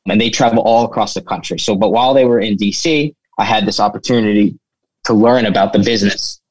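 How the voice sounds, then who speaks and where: A man's voice: fairly low pitch, fast, dry recording, poor audio. A young man who grew up in the United States, telling his story informally as an interview guest, often saying "you know.". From United States, born in Turkey. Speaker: interview guest, flooring business owner. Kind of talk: casual personal storytelling.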